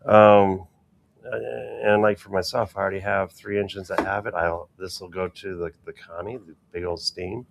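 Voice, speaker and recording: A man with a very deep, gravelly voice chats casually, greeting viewers as he reads their comments. Faint background noise sits under his speech. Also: little pitch movement, moderate pace. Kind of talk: casual hobby chat livestream.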